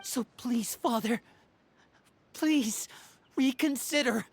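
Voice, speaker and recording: A woman's voice: fairly high pitch, slow pace, breathy edge, dry recording, exaggerated voice. A woman playing an earnest young protector of a found family in a video game, imploring in manner. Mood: pleading. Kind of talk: dramatic video game voice acting.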